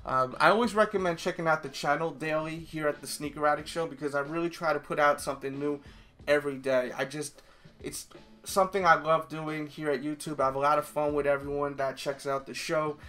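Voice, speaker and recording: A chatty man with a New York accent talks straight to his viewers, rambling with fillers. His voice is bright and forceful and sits a little high. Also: fairly even intonation, a little fast. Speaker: sneaker YouTuber. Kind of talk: casual vlog talk to viewers.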